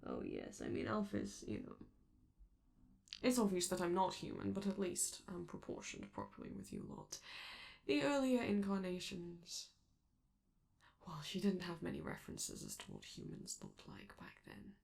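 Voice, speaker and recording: A performer, a woman, playing a vain, affectionate and theatrical robot star in an ASMR roleplay, with halting, doting asides and a creaky voice. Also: fairly low pitch, huge pitch swings, darkish tone, full character voice. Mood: chatty, amused.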